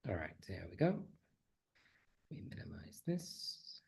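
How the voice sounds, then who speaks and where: A man's voice: deep voice, big pitch swings, measured pace, vocal fry, darkish tone, husky. Slightly nasal man presenting online. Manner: friendly, chatty, self-deprecating. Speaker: conference speaker, teacher trainer. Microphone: computer mic on a video call.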